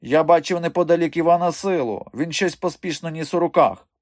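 A man's voice: on the high side, a little fast, no room sound, studio quality, pitched above the performer's own voice, lightly acted. A man voicing a sneaky, cowardly circus dwarf with a whiny, wheedling manner, in a storybook reading. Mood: wheedling, sly.

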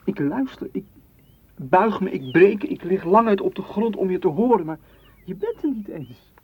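A man's voice: high voice, expressive, normal speed, husky. A man playing a frustrated, hurt lover, intense and reproachful, in a radio play. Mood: desperate. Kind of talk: Dutch radio play acting. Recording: dull, faintly noisy old radio recording.

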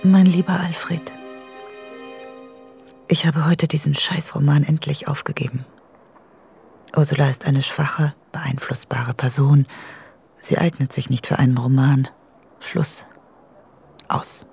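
An actress playing an intense, resolute woman writer in a radio drama, reading out her letter in a deliberate half-whisper. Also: sits low, fairly even intonation, gravelly, warm tone, slightly rough, faint music behind, heavily acted. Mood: resolute, intimate.

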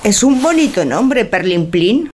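A woman's voice: medium pitch, big pitch swings, a little slow, a little mellow, a little hiss or hum. Woman acting a languid, coquettish young bride. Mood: coy, teasing. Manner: coquettish, cooing, teasing. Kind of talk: stage-like radio drama acting.

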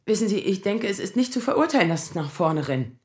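A woman's voice: mid-range voice, sing-song, darkish tone, reverberant, natural voice. An actress playing a distraught woman in her thirties in an audio drama, speaking in anxious, stammering bursts. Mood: agitated, fearful. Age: 30s. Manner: halting, stammering. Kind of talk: naturalistic audio drama acting.

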